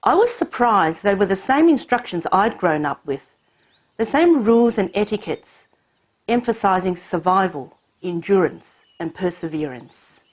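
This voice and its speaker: A woman raised in Hong Kong whose first language is Cantonese, telling her family's story in measured, reflective scripted narration. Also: mid-range voice, very animated, low-quality recording. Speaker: narrator of her own short film.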